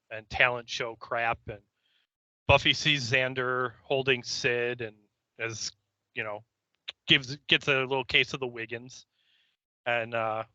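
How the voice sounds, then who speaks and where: A man's voice: mid-range voice, bright, forceful tone, smooth, dry recording, muffled recording. A man co-hosting a podcast, casually recapping a story in a joking, sweary, rambling way. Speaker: podcast co-host. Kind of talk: casual recap of a TV episode, chatty banter.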